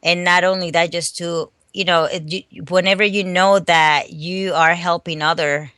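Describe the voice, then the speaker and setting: Woman, middle-aged, bright voice, dry close sound, halting with restarts. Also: on the low side, steady tone, clean tone, no echo. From Dominican Republic. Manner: hesitant, many restarts. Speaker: fitness competitor and coach. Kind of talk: phone interview guest. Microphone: phone call.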